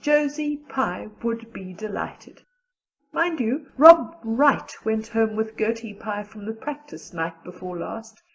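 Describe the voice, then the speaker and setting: A woman's voice: on the high side, slow, gravelly, rough recording. A woman playing a practical, chatty, loyal teenage girl with a matter-of-fact, gossipy manner in an audio drama. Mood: chatty, pleased.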